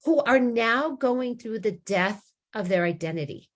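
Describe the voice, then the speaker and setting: A slightly high-pitched woman with a hoarse, worn voice talks loosely about spiritual growth. She rambles, restarts her sentences and leans on fillers, then slows down to linger on the words she wants to stress. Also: animated. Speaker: spiritual teacher. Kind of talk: casual spiritual talk.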